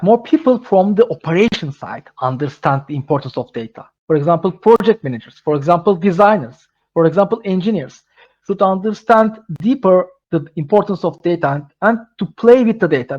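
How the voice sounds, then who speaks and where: Turkish-accented man with a high voice. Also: a little mellow. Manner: halting non-native English with many restarts and fillers. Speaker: webinar speaker. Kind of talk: webinar Q&A answer. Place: London. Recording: dull lo-fi call audio.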